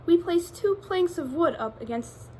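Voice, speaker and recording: A woman's voice: very high voice, expressive, unhurried, darkish tone, a little hiss or hum. A woman reading a picture book aloud to children, expressively, addressing them directly with questions like a teacher.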